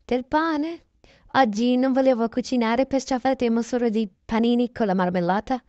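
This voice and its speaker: A chatty woman with a fairly high voice tells a make-believe daily routine. She improvises casually and corrects herself along the way. The sound is dry and somewhat muffled. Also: moderate pace, dark tone, no echo. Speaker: narrator voicing a toy-game roleplay video.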